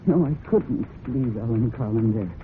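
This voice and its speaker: A woman's voice: a bass voice, expressive, moderate pace. An actress playing a distraught, fiercely protective horsewoman, with a fraught, heightened delivery in old-time radio drama style. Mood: grim, troubled. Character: distraught, fiercely protective horsewoman narrating her own crime story. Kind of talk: dramatic old-time radio acting with first-person narration. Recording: muffled phone-like old radio recording with hiss.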